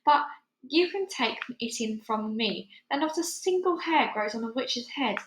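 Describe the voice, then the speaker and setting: A woman's voice: a little high, expressive, a little slow, fairly bright tone, pitched above the performer's own voice. A woman voicing a stern, knowing old grandmother in a storybook, brusque and instructive. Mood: brusque, scolding. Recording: roomy, echoey home recording.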